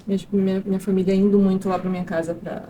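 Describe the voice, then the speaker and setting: A woman talks loosely as an interview guest, full of fillers and restarts. Her pitch sits in the middle for a woman. A faint hiss of noise runs under the voice. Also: fast talker. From Brazil. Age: 30s. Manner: relaxed, rambling, many fillers and restarts. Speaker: guest actress and producer. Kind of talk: casual interview chat.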